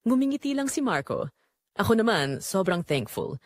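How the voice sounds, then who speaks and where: A woman's voice: mid-range voice, big pitch swings, moderate pace, no echo. Actress as wronged married daughter, female storyteller for audio drama. Emotive and confiding, widely swinging intonation, bone-dry recording. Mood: reflective, fond. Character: betrayed married daughter confiding a painful family secret. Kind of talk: first-person dramatic storytelling narration.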